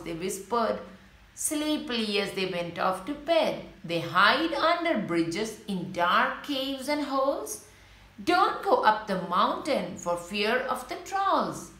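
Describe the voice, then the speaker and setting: A woman's voice: fairly high pitch, sharp tone, roomy sound. A woman reading a picture book aloud to young children, telling the story and pointing out the pictures as she goes. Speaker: children's story reader and channel host.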